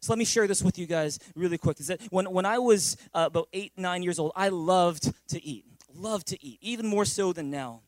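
A man's voice: high-pitched, brisk, hardly any pauses, no echo. A man preaching conversationally as a pastor, telling personal stories and often repeating phrases to his listeners. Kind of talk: conversational sermon with personal storytelling.

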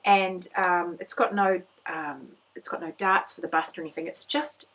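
A woman in her thirties hosts her podcast in a relaxed, chatty way. Her voice is a little croaky, and she talks cheerfully, with plenty of fillers and small restarts. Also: lowish voice, moderate pace, rough recording. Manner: chatty, upbeat, rambling with fillers. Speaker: podcast host. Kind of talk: casual chat.

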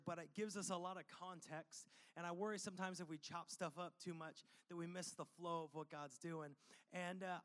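Young male pastor, high voice, sniffly from a cold, chatty and energetic, casual sermon. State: sniffly, slightly losing his voice from a cold.

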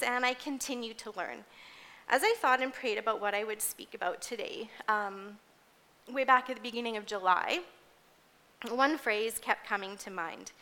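A woman in her forties speaks to her congregation in a bright, clearly forward voice that sits a little high. She delivers a personal, informal sermon, earnest and conversational, with some hesitancy as she goes. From grew up in Indonesia as a missionary kid, later moved to Canada. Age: 40s. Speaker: church music ministry leader giving a sermon.

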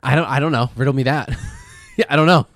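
A man's voice: medium pitch, quick pace, fairly bright tone, no room sound. Casual rambling man, close dry sound. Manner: rambling, repeats phrases, swears freely. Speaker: podcast co-host. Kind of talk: casual chat and storytelling.

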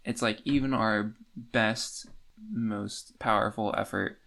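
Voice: mid-range voice, fairly even intonation, slow, vocal fry, a bit bright. A podcast co-host chatting casually about a book in a dry, matter-of-fact way. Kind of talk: casual book discussion chat.

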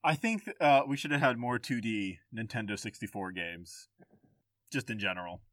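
A man's voice: lowish voice, moderate pace, fairly bright tone, no echo. A man hosting a casual video game podcast, talking informally with swearing and easy laughter. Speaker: podcast host.

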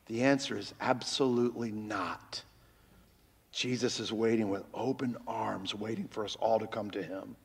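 A man preaching a sermon as pastor in a church, with a very loud voice that swings between loud cries and hushed, near-whispered lines, on a microphone. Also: lowish voice, normal speed, creaky, husky, big-room sound.

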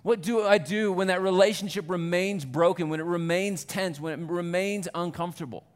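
A pastor preaching a Sunday sermon in a church, conversational and self-deprecating, building to earnest fervor. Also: male voice, sits high, moderate pace, smooth.

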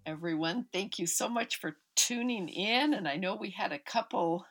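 A woman over fifty-five, hosting a podcast in a gushing, warm way with frequent fillers. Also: medium pitch, expressive, moderate pace. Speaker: podcast host. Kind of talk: podcast interview.

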